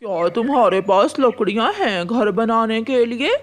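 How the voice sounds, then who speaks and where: Woman voicing slow, high, scheming cartoon crow husband. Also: lively intonation. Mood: flirtatious, coaxing. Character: smooth-talking, bossy male crow husband, flirtatious and scheming. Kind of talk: Hindi cartoon story acting.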